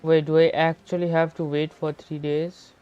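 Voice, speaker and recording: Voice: medium pitch, flat delivery, normal speed, clean tone, muffled recording, faint music behind. A game streamer giving casual live commentary while playing.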